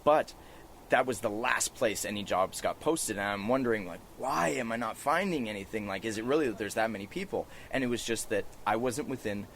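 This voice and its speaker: A man with a slightly low voice talks casually as a podcast host, explaining as he goes. He is recorded outdoors on a porch, with faint ambient noise behind him. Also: a little fast, gravelly, a bit bright. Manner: conversational, explanatory.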